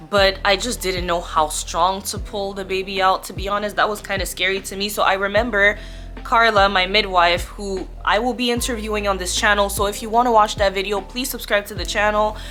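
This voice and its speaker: A young adult woman speaking as a vlogger in a casual storytime, candid and chatty with expressive emphasis. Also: medium pitch. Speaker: vlogger telling her birth story. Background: background music.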